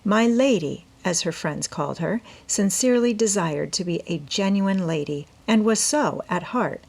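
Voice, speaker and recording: Creaky-voiced woman reading a classic novel. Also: mid-range voice, animated, normal speed, a little mellow. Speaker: audiobook narrator. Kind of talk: scripted literary narration.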